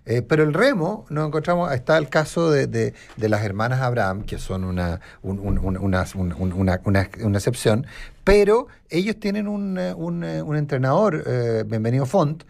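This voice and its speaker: A man's voice: medium pitch, animated, moderate pace, gravelly, darkish tone. A man with a Chilean accent commenting in a live radio conversation, often repeating words as he searches for the next one. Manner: hesitant, stammering repetition of words. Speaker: radio show panelist.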